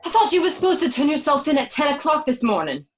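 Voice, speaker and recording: An angry, worried gangster's wife played by a woman in a radio play. The voice is on the high side and moves a lot in pitch, over lo-fi audio. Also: very animated, fast talker. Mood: angry, urgent. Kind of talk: radio drama acting.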